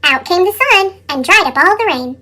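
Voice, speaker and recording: A woman's voice: animated, moderate pace, bright, forceful tone. A woman reading children's picture books aloud expressively, her voice sped up with a chipmunk effect. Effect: sped-up chipmunk pitch effect. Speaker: storybook reader.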